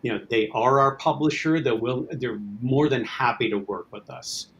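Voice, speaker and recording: A man with a medium-pitched voice talks as an interview guest. His delivery is casual and a little rambling. There is some noise behind him on the recording. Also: normal speed, lo-fi sound. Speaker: guest, writer-producer of an audio drama. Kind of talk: casual promotional interview chat.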